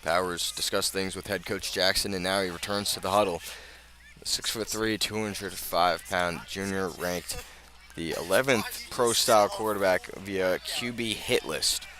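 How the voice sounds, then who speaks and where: Male American sports announcer, lower-pitched but very bright and forceful, live radio football play-by-play, outdoors at the stadium. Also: steady tone. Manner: measured scene-setting, rising to urgent calls.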